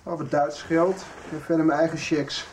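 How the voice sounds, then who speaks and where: A man's voice: a little high, fairly even intonation, moderate pace, a little mellow, slight background noise, lightly acted, low-quality recording. An actor playing an earnest, doubting young man in a radio soap, speaking in a hesitant, sentimental way. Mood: casual, teasing.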